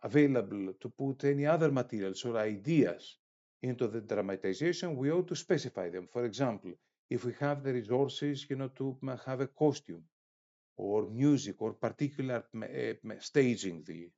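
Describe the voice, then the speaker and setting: A man giving a formal instructional lecture in a halting, hesitant way, with pauses mid-phrase. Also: mid-range voice, lively intonation, warm tone, highs cut off. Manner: formal, academic wording. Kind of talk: instructional lecture, explaining step by step.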